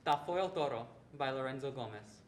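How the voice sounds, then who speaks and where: A man's voice: mid-range voice, lively intonation, a little fast, lightly acted. A young man, a student narrator reciting scripted readers theatre lines. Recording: echoey room, faint background noise.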